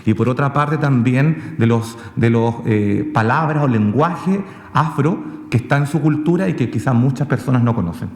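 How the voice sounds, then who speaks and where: A man gives an informal talk in a reverberant hall, with plenty of echo around him. His pitch is middling and his delivery halting, as he feels his way through the presentation. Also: a little mellow. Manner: hesitant, halting, checking with the audience. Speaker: presenter, sound documentary maker. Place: reverberant conference room. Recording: echoey hall with long reverb.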